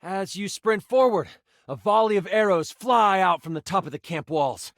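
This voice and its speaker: A man voicing an excitable, nerdy game master, narrating tabletop fantasy dramatically and dropping into casual, hesitant asides. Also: high-pitched, moderate pace, no room sound, studio quality. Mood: dramatic. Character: living D20 die, excitable nerdy male game master.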